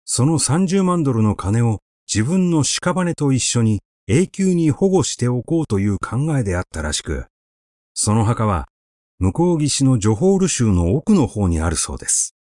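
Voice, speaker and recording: A man narrating a literary short story in a measured, expressive storytelling manner. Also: mid-range voice, no echo, studio quality. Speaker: audiobook narrator.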